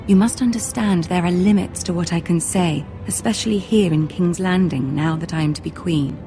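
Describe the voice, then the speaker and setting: Casting: woman to play kindly future queen, English accent, lowish measured voice, game drama over prominent music. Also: lightly acted. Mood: guarded concern. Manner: courtly, measured, reassuring. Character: poised, kindly young noblewoman, soon to be queen. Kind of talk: dramatic video game acting. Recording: music behind the voice.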